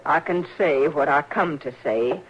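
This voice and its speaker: A woman's voice: sits low, unhurried, husky. A woman playing a bitter, grieving frontier mother in an old radio western, plain-spoken, with a rural Western American accent. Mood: anguished pleading. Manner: plain-spoken, folksy, unyielding. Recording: muffled, hissy old radio recording.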